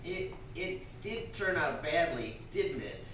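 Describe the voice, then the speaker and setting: A woman's voice: on the low side, very animated, slow, a bit bright, heavily acted. A woman playing a timid, henpecked husband who stammers submissively in a live radio drama. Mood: meekly placating. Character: timid, henpecked, meek husband Mister Hinkle. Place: stage of a live theatre performance. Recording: echoey stage, distant from the mic.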